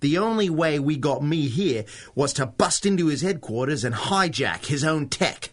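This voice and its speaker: An actor playing a brash, frantic, wisecracking wartime hero in a 1930s-style radio adventure. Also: male voice, on the high side, animated, normal speed. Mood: grim, urgent. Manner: wisecracking, slangy, sarcastic.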